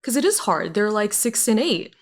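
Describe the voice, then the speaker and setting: A middle-aged woman chatting on a podcast as a guest, telling stories in an animated, expressive way. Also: medium pitch, normal speed. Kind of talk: casual chat.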